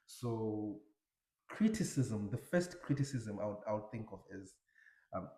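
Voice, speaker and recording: Zimbabwean man, low mellow voice. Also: lively intonation, roomy sound. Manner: reflective, halting with many fillers and restarts. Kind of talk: casual conversation.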